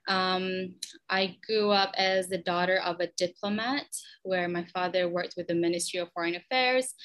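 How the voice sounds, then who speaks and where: A Malaysian woman in her twenties, a podcast guest, chatting in a lively way with frequent ums and restarts. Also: medium pitch. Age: 20s. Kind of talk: conversational interview answers.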